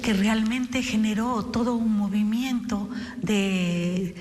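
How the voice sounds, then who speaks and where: A woman talks informally in an interview. Her mid-range voice rings with long reverb, and music plays clearly behind it. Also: medium pitch, fairly even intonation, slow, a little mellow. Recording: long reverberant hall echo.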